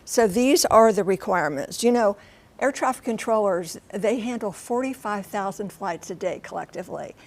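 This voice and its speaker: A woman's voice: medium pitch, lively intonation, normal speed, darkish tone. An American woman giving a conversational live TV news report as a correspondent, explaining things emphatically in short, clipped phrases. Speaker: TV transportation correspondent and pilot.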